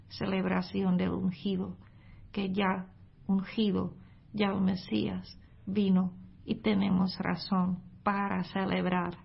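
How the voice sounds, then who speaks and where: Slow, gravelly-voiced woman preaching. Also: medium pitch, lo-fi sound, a little hiss or hum. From Czech Republic. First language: Czech. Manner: careful non-native Spanish with frequent hesitations. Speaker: missionary preacher. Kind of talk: Christmas devotional message.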